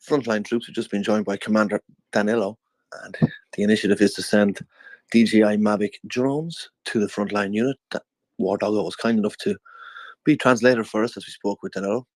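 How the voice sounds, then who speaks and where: A male host with a deep, gravelly voice runs a live audio chat. He delivers a brisk, upbeat appeal for donations in a dry, close sound. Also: a little mellow, dry recording, rough recording. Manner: brisk, upbeat call to action.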